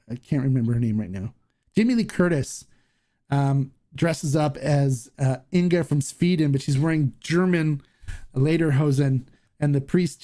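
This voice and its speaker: A man hosting a casual live Q&A, reading viewer comments aloud and answering in a rambling, halting way. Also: on the high side, dark tone. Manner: rambling, halting, many restarts and fillers. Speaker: host of a live Q&A stream.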